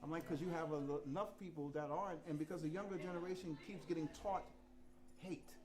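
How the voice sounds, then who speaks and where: A man in his fifties from New York, talking frankly and profanely to students at a masterclass, sometimes quiet and sometimes loud. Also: on the high side, fairly even intonation, a little fast, a crowd in the background. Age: 50s. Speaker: visiting drummer teaching a masterclass. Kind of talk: casual talk to students. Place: rehearsal room.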